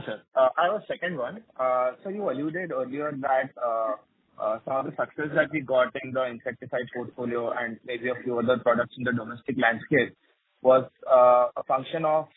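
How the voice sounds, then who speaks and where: A man's voice: medium pitch, steady tone, moderate pace, slightly rough, dry recording. Over a narrow, dry telephone line, a man speaks as an analyst on an earnings call. He puts his questions politely and at length, with a hesitant delivery. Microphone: phone.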